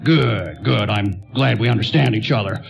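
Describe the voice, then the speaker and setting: An actor playing a pompous, folksy older city mayor who repeats his words and pushes smoothly, in a radio play. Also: fairly low pitch, normal speed, muffled recording. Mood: smugly firm. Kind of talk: stage radio play acting.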